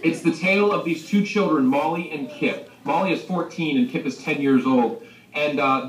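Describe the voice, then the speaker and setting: A man's voice: on the high side, a little hiss or hum. A man, a children's author, speaking enthusiastically and directly to young listeners as he presents and reads his storybook over a video call. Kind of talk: animated school talk and storybook reading. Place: video call played into a school library. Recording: roomy, distant video-call sound.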